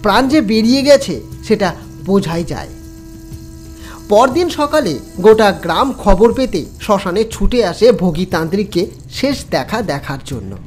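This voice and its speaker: Animated male horror storyteller over music. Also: high voice, measured pace. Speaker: narrator and storyteller. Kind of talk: dramatic scripted horror narration.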